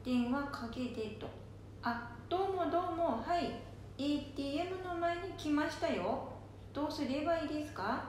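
High-voiced gullible old granny, acted by a woman, Nanbu dialect. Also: animated, normal speed. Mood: trusting, eager. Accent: Nanbu dialect, Japan. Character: gullible, stubborn, chatty elderly grandmother. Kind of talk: amateur radio drama. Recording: very echoey room, faint noise.

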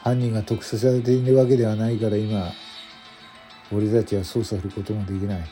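A man playing a regretful veteran police detective in a radio drama, musing in gruff, terse phrases. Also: lowish voice, little pitch movement, a little fast, dark tone, soft background music, lightly acted. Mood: rueful.

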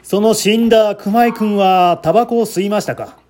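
A man voicing a calm, shrewd police inspector who questions a suspect in a measured, probing way in a radio mystery. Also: high voice, natural voice.

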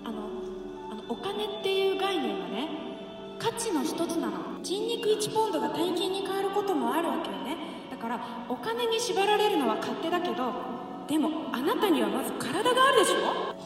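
A woman's voice: very high voice, big pitch swings, a little fast. A woman playing a pushy, theatrical young Italian noblewoman in a comic radio drama, chatty and emphatic as she hands out advice. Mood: emphatic, advising. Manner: breezy, chatty, emphatic feminine speech. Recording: echoey hall, distant, background music. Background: background music.

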